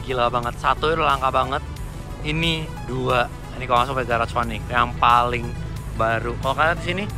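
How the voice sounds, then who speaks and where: A man with a Jakarta Indonesian accent giving enthusiastic, casual vlog commentary, mixing slang with English car terms. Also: a little high. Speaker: car vlogger. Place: outdoors at a car meetup. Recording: loud music behind, outdoor vlog audio.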